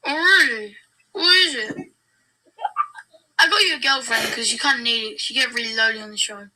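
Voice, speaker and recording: A woman's voice: on the high side, fairly quick, sharp tone, low-quality recording. A woman voicing a blunt, gift-giving character in an amateur cartoon skit. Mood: teasing.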